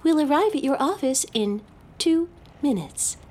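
High-pitched polite female AI assistant. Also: very animated, unhurried, music in the background. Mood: neutral, helpful. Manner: courteous, neutral, scripted. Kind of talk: audio drama acting, announcing reminders and schedules.

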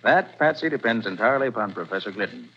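An actor playing a calm, assured male detective who speaks in a measured, authoritative way in a 1940s radio drama. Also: medium pitch, natural voice. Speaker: private detective. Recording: dull 1940s radio recording, faint hiss.

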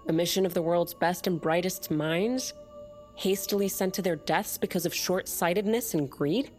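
An actress playing a defiant, cynical leader of an underground separatist movement in an audio drama. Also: female voice, on the low side, moderate pace, darkish tone, faint music behind, lightly acted. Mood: earnest, ideological.

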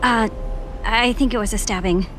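A woman's voice: on the high side, normal speed, noisy background, natural voice. An actress playing a mousy, eager young woman in an audio drama, hesitant and stammering as she talks. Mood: awkward, excited. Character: awkward, eager, mousy young woman, true-crime fan. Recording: faint music behind the voice.

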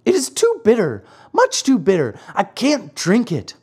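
A narrator voicing a whiny, stubborn little wooden boy marionette in a high, squeaky, childlike storybook voice. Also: wildly swooping pitch, a little slow, slightly rough, heavily acted. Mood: complaining. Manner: whining, childlike, pleading.

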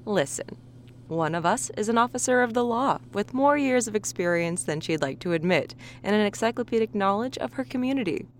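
A woman's voice: medium pitch, expressive, fairly quick, slight background noise, close to an everyday voice. A woman playing a smug, menacing police officer. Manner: smug, threatening, controlled.

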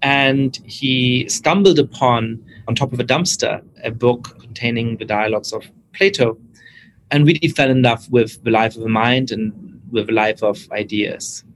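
A man's voice: mid-range voice, a little slow, slight background noise, rough recording. Earnest male podcast host. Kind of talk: podcast interview.

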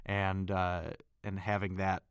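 A man, a voice actor, chatting casually and thoughtfully in a remote conversation, often self-deprecating and quick to laugh. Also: deep voice, monotone, smooth, no echo, clean studio sound.

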